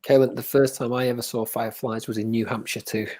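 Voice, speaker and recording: A man who works with children, reflecting in a soft, thoughtful way during a casual chat on a video call. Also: medium pitch, little pitch movement, quick pace. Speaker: educator and co-host.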